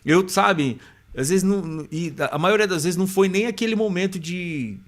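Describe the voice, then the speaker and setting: Slangy, higher-voiced Brazilian man chatting. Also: moderate pace. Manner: informal, slangy. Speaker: podcast host. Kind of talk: casual chat.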